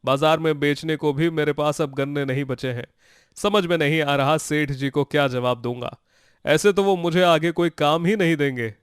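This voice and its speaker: A man's voice: fairly high pitch, moderate pace, smooth, dry recording, clean studio sound, natural voice. A man playing a poor, naive village farmer in a children's moral story, plaintive, earnest and easily amazed. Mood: dejected, worried.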